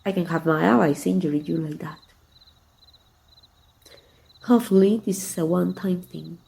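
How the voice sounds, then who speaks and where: A woman's voice: fairly low pitch, moderate pace, creaky, dark tone. A woman playing a flirtatious, confident villain who is secretly fond of her rival, in an intimate, teasing ASMR roleplay. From Mexico. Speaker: amateur voice actor.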